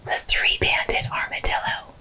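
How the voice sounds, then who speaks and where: A woman host narrating educational animal facts in an ASMR style, whispering slowly and deliberately. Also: pushed and bright. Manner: whispers slowly and deliberately through most of the talk.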